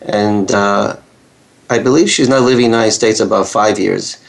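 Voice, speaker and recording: Gravelly-voiced male radio host. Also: fairly low pitch, normal speed. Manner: hesitant, many fillers, warm laughter. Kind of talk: radio interview.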